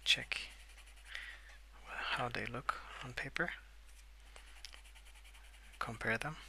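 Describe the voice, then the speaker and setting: A young adult man softly rambling in a breathy half-whisper for ASMR, relaxed and unhurried, close to the microphone. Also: mid-range voice, background noise. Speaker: ASMR video creator. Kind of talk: soft-spoken ASMR rambling while colouring.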